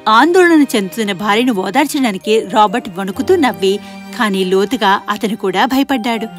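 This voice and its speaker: A woman narrating a scripted children's fairy tale in an expressive storytelling manner. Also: medium pitch, normal speed, soft background music.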